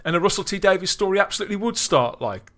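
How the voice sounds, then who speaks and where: A man's voice: high voice, animated, fast talker, sharp tone. A man hosting a podcast, reviewing in casual chat, enthusiastic, with frequent restarts. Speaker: podcast host.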